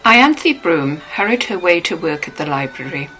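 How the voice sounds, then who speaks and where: A woman's voice: lowish voice, very animated, moderate pace, sharp tone, soft background music, low-quality recording. A woman reading scripted narration from a novel.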